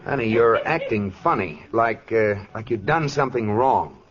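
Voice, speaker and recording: An actor playing an earnest, anxious, overprotective husband in 1940s radio comedy, melodramatic and stammering. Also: male voice, lowish voice, animated, moderate pace, crowd noise, natural voice. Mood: worried. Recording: dull old radio recording.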